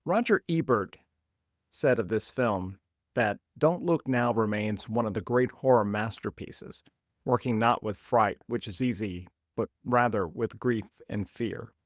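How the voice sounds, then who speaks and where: A man's voice: lowish voice, measured pace, no echo, studio quality. A man hosting a film podcast, reading an upbeat scripted intro, then chatting casually with his co-host. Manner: upbeat, reads from notes.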